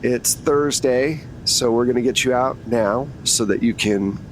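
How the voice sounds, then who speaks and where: A man's voice: medium pitch, measured pace, a little hiss or hum, close to an everyday voice. A man voicing a female government agent who bargains in a coolly controlled, businesslike way in a tabletop game.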